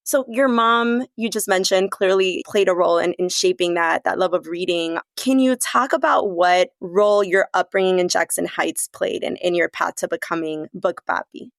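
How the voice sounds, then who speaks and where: A woman hosting a podcast, reading a scripted intro and asking interview questions in a smooth, measured way. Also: fairly low pitch, no room sound, studio quality. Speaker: podcast host.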